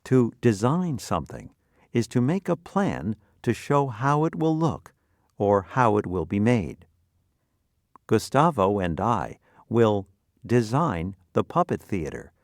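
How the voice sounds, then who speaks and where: A man narrating a scripted vocabulary lesson in deliberate, clearly separated phrases. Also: on the low side, sing-song, dry recording, studio quality.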